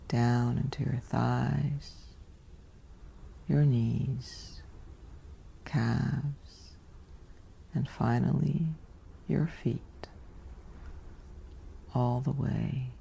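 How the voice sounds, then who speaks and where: A woman narrates a guided sleep meditation in a soft, whispery ASMR style. Her voice is mellow and full of heavy vocal fry. She speaks in an even, soothing way, leaving long pauses between short phrases. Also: extremely low pitch, a little hiss or hum.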